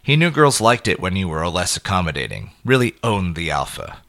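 A man reading a scripted horror story as a dramatic narrator, in deliberate, clipped phrases. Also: low-pitched, big pitch swings, fairly bright tone.

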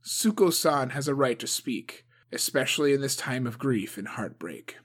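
A man voicing a young male clan leader who speaks calmly and with measured authority. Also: medium pitch, slightly rough. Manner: calm, commanding.

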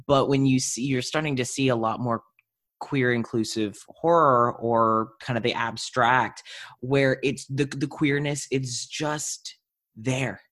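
A man's voice: mid-range voice, fairly even intonation, slow, a bit bright. A young adult man chatting casually as he hosts an interview podcast. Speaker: podcast host.

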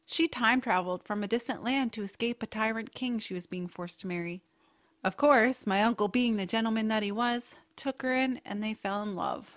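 A kindly, whimsical aunt acted by a woman in a family audio drama. Her voice is fairly high, and she speaks in a gentle, earnest storytelling way. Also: a little fast, lightly acted. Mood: wistful, sincere. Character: kindly, whimsical aunt, bookish home cook.

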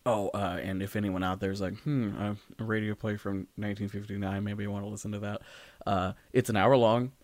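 Guy cohosting a podcast, lowish voice, dry sound, kinda rambly and informal. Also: flat delivery, a little fast, a little mellow, no room sound. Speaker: podcast co-host. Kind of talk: casual discussion of storytelling in audio and stage.

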